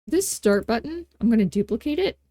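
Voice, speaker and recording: A woman hosting a casual, unscripted software tutorial, talking in a playful, folksy way with informal grammar and self-deprecating asides. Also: a little high, animated, brisk, no room sound. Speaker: tutorial host.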